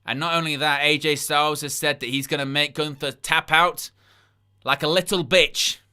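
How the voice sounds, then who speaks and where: Bright, crisp-voiced man hosting a livestream. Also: a little high, steady tone, moderate pace. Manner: incredulous, rambling asides; reads chat comments aloud and plugs likes. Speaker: wrestling livestream host. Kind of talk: live watchalong commentary.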